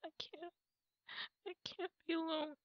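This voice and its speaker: A woman playing an apologetic, emotional girlfriend in an intimate ASMR roleplay, speaking softly and haltingly. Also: very high-pitched, little pitch movement, no room sound, dull audio. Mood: tearful pleading. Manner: halting, soft, breathy delivery with pauses. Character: apologetic, tearful girlfriend pleading to make up. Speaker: roleplay audio performer.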